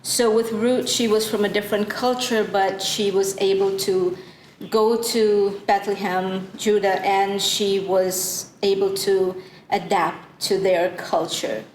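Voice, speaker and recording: A woman from Guyana speaks very slowly as a church panelist, telling Bible stories in a halting, reflective manner. The room is echoey, with faint noise behind her. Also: medium pitch, flat delivery, a little mellow. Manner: halting, thoughtful storytelling.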